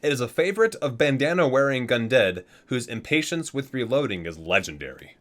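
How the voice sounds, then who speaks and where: A man with a mid-pitched voice reads aloud in an ASMR style. His intonation is very lively, moving across a wide range. He gives titles a theatrical emphasis and adds casual, muttered comments as a host would. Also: fairly quick. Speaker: video host reading a game guidebook.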